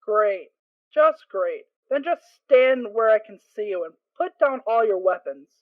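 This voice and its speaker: A woman's voice: fairly high pitch, expressive, measured pace, no echo. Woman playing a curt stallion guard pony. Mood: suspicious. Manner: curt, commanding, suspicious. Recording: tinny phone-like sound.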